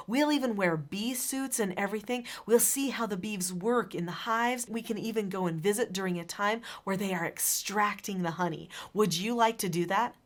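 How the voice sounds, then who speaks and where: A woman's voice: medium pitch, a bit bright. A middle-aged woman giving instructional advice to viewers as a parenting coach, emphatically stressing key words.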